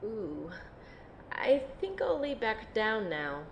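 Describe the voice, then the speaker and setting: A woman playing a wounded, frightened young woman in an amateur audio drama, speaking haltingly, weary and vulnerable. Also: medium pitch, big pitch swings, a little hiss or hum. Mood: dazed, weak. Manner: halting, hesitant delivery. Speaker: voice actor playing narrator and lead character.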